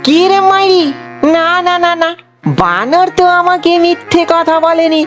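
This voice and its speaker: A performer voicing a gullible, excitable male fox husband in a comic cartoon, exclaiming and repeating words in a sing-song way. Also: very high voice, a little slow, soft background music. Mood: bewildered.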